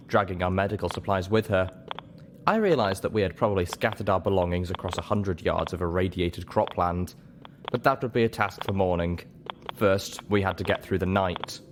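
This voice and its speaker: Very low-voiced man narrating a story. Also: a little fast, background noise. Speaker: audiobook reader.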